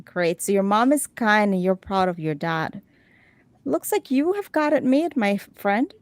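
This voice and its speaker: A woman's voice: mid-range voice, animated, unhurried, no echo. A woman hosting a talk show, chatting casually with her guests and repeating words as she starts sentences. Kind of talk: casual interview chat.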